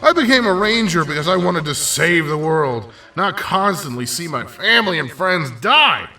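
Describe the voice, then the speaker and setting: A man plays an embittered young ranger in an audio drama. His voice is high and bright with a forceful edge. He speaks intensely, like someone worn down by loss, from a little way off the mic. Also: lively intonation, moderate pace, recorded from a distance. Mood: frustrated, bitter.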